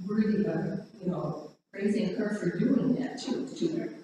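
Echoey, distant, mellow low-voiced Norwegian-speaking woman. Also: a little slow. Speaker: participant in a prayer vigil. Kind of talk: hesitant sharing in a group discussion. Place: large room at a spirituality center. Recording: echoey hall, distant mic, faint noise.

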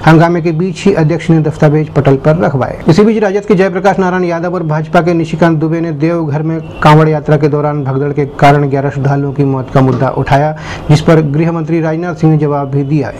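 A man presenting a scripted news bulletin in a formal, measured announcing manner. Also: a little high, darkish tone, slight background noise.

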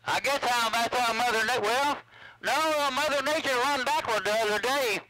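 A man's voice: very high voice, normal speed, bright, forceful tone, low-quality recording. A man from Mississippi chatting casually as a CB radio operator, folksy, repeating himself in radio slang. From Mississippi, USA.

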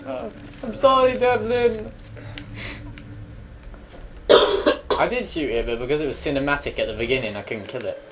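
A young man bantering casually as a gaming co-host, excitable, playful and teasing. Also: on the high side, normal speed, long pauses, far from the mic. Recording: clear noise, game sound behind. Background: video game sounds.

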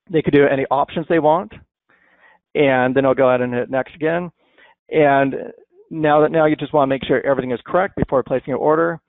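A man walks through laundry software in a relaxed, conversational webinar style, often restarting his sentences with an "um". His voice sits at a middle pitch and comes through a tinny, narrow line with a dry, close sound. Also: gravelly, no room sound. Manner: conversational, many fillers and restarts. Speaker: software presenter. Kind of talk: webinar product walkthrough. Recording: dry tinny phone-like audio.

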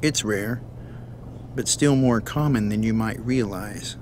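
An actor playing a grave, hesitant doctor who gently breaks bad news in a visual novel game. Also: male voice, fairly low pitch, takes it slowly, slight background noise. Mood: grave, careful.

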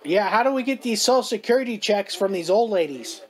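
A man's voice: sits high, animated, brisk. A man on a prank phone call with a scammer, playing dumb and chatting off topic. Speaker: prank caller baiting a scammer.

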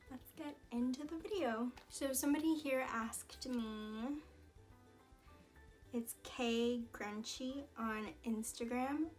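A young woman from Canada, a singer answering viewer questions in a casual, chatty vlog with relaxed fillers and asides. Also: on the high side. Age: young adult. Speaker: singer and vlogger. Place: small indoor room against a plain wall. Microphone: camera in front at head-and-shoulders framing.

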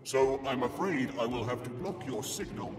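A calm, obedient sci-fi machine intelligence, played by a man, speaking through a robotic effect in a long reverb. The delivery is measured and emotionless, with clear music underneath. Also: mid-range voice. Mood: calm, detached.

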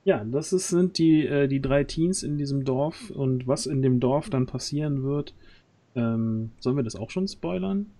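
A man's voice: mid-range voice, measured pace, warm tone. Man hosting a casual livestream. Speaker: stream host. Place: small dark room at home. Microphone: large microphone close to the mouth.

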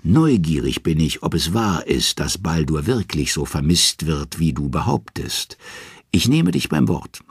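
Man as gravelly, haughty queen of the dead. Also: a bass voice, sing-song, unhurried, dry recording, full character voice. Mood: malicious, commanding. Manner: imperious, gloating, menacing. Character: haughty, malicious queen of the underworld.

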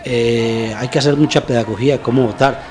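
A man's voice: medium pitch, steady tone, fairly quick, a little mellow, some chatter behind, a little hiss or hum. A middle-aged Colombian man hosting a local television interview, putting questions to a guest. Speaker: interviewer, host. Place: room with brick wall, seated on sofa. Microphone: handheld interview microphone.

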